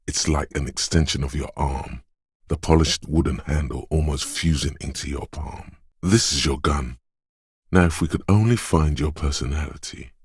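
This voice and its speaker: A man voicing an inner voice of physical instinct in a video game, advising and narrating in a deliberate, precise, instructing way. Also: very deep voice, husky, no echo, studio quality, exaggerated voice. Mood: reverent, solemn. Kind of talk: video game voice-over, second-person narration.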